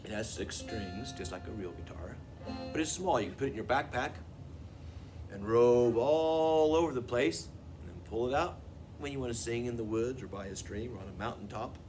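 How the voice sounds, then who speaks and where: An American man, a librarian hosting a children's storytime, who talks to young listeners and puts questions to them. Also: mid-range voice. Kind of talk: children's storytime with songs and read-aloud.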